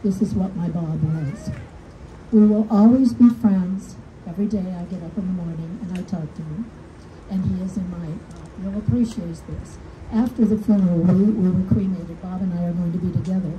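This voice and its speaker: Older woman with a deep voice speaking at an outdoor podium. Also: normal speed, slight background noise. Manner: warm personal storytelling with gentle humor. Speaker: widow giving a dedication speech. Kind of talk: heartfelt public speech at a podium. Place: outdoors under a canopy, small crowd. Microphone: podium mic heard through speakers, recorded from the audience. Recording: distant speaker through PA with outdoor ambience.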